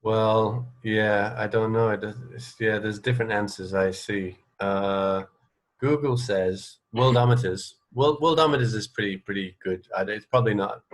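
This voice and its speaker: A man's voice: on the low side, normal speed. An English man hosting a casual radio interview, talking in a rambling, hesitant way and often rewording himself. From England. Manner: rambling, hesitant, self-correcting. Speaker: radio show host and interviewer.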